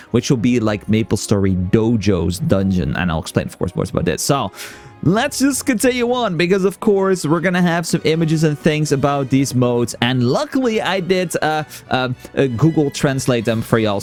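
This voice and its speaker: A man giving a casual, excitable summary of gaming news as a streamer. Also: mid-range voice. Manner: excitable, talkative, hyped about announcements.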